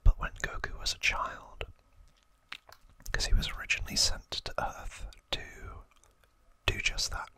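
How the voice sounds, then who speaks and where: A host whispering softly and haltingly close to the microphone, explaining trivia informally in an ASMR style. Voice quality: soft unvoiced whisper.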